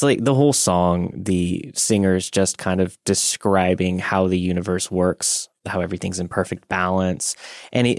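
Low-voiced man, dry close sound. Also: gravelly, a little mellow, dry recording. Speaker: podcast co-host. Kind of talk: casual reflective chat, reading listener stories.

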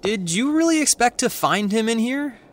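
A man's voice: very high voice, lively intonation, normal speed. An actor playing a wry, protective young man in an audio drama, speaking in a sardonic, natural way. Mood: wry, cautious.

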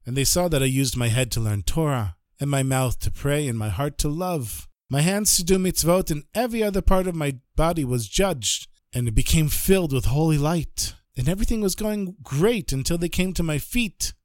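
A man plays a saintly rabbi who speaks slowly and tenderly in a hushed voice. The delivery is solemn and gentle, dry and close. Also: a little high, lively intonation, dry recording, natural voice. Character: dying holy male rabbi, gentle and solemn.

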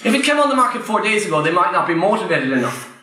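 An Irish man with a high, bright voice that rings through a very echoey room. He talks to a live audience like a coach, hammering key points by saying them twice and calling out to the crowd. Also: big pitch swings, racing. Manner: emphatic, repeats key phrases, addresses the crowd directly. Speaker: property investment trainer.

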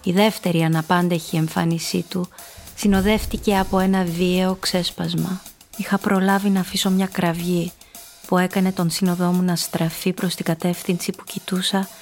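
An actress playing a calculating seductress who narrates her own story in a radio drama, measured and intimate. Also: lowish voice, little pitch movement, a little mellow, soft background music, natural voice. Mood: tense.

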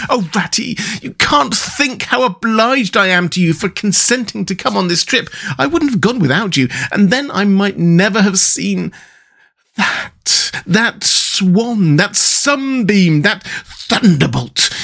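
Guy putting on a high swoony toad, slow and sing-song, totally carried away. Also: a bit bright, heavily acted. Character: dreamy boastful toad, male, car-crazed.

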